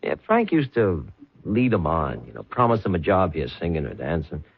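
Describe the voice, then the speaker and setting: Slangy nightclub owner, very low gravelly voice, wisecracking, old radio drama, dull recording. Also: male voice, very animated, normal speed, no room sound, full character voice. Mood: sly, recollecting. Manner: slangy, folksy, hesitating tough-guy talk. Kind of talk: old radio mystery acting. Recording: dull vintage radio, faint music.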